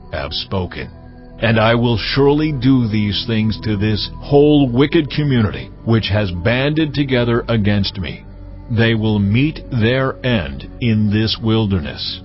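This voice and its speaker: Solemn, low-voiced commanding God. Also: male voice. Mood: stern, wrathful. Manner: slow, measured, solemn declamation. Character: God, solemn, commanding male deity decreeing laws and judgement. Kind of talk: dramatized audio Bible reading. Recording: dull audio with music underneath. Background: music.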